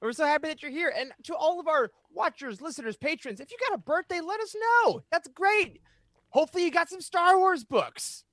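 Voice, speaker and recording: Male podcast host, thirties, high forceful voice, upbeat chat, close dry mic. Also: lively intonation, a little fast, no room sound. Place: small home studio room. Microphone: boom-arm microphone up close.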